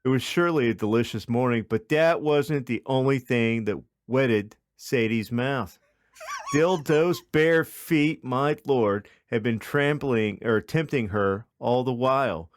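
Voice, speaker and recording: A man's voice: medium pitch, unhurried, no room sound. Dry-sounding man narrating a comic story. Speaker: podcast host.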